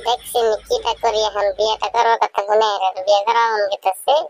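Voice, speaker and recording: A very high, artificially raised cartoon voice plays a naive, penniless young bachelor. He sounds bashful and hesitant, speaking colloquially with a rural regional Bengali accent. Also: flat delivery, a little fast. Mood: bashful, puzzled. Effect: pitched-up cartoon voice. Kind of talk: comic cartoon dialogue.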